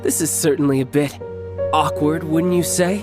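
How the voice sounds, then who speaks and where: A man voicing a cocky, playful young warrior and doting big brother in a video game, smooth-talking and theatrical. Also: a little high, steady tone, moderate pace, lightly acted. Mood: breezy teasing. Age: young adult. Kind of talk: video game character acting. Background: music.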